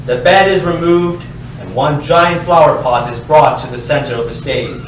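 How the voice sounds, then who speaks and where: A man reading stage directions as scripted narration, deliberate and dry in delivery. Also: medium pitch. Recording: very echoey hall, distant mic, faint noise.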